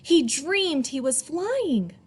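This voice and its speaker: A woman reading a picture book aloud to children expressively, in a teacherly way, pausing for young listeners. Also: sits high, big pitch swings.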